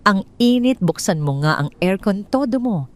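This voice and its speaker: A woman plays a spoiled, haughty mistress in an audio drama. Her voice sits higher than the narrator's own and leaps around as she gives orders and mocks. Everything she says sounds vain and contemptuous. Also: expressive, normal speed, lightly acted. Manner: scornful, bossy.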